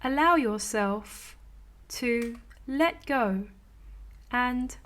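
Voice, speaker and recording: A woman leading a guided meditation in a soothing, gentle, unhurried manner with long pauses between phrases. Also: fairly high pitch, expressive. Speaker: meditation teacher.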